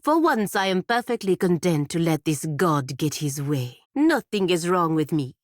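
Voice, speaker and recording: A proud godlike warrior lady from a video game, deep voice, stern n blunt, kinda disdainful, very dry sound. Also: very animated, normal speed, no room sound. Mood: firm, assured. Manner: formal, blunt, haughty and disdainful. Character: proud, stern godlike female warrior serving her republic. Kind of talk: scripted video game character dialogue.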